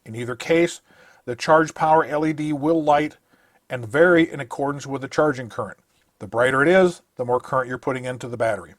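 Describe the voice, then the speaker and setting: A man's voice: mid-range voice, fairly quick, no echo, studio quality. A man narrating a scripted product review, listing features in a methodical, matter-of-fact way.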